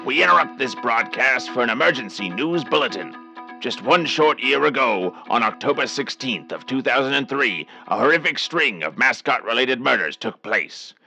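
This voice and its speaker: A mid-pitched male news announcer played by an actor with a creaky, highly varied voice. He reads a formal, urgent bulletin over clear music. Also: expressive, normal speed, rough recording. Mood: grave. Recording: music bed under the voice.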